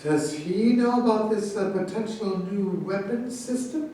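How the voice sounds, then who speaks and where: A man's voice: sits high, unhurried, dark tone, far from the mic, slight background noise. A man playing a self-important, argumentative military officer and professor in a staged play reading. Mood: dry, haughty. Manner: argumentative, grandiose. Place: echoey room at a staged reading. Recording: very echoey room recorded from afar.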